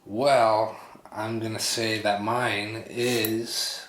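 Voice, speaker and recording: A man's voice: fairly low pitch, level tone, very slow. A man in his thirties chatting casually with a friend, teasing and playfully arguing his point. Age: 30s. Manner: playful, argues in a teasing, joking way. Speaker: travel vlogger. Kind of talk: casual chat. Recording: echoey room with loud background noise.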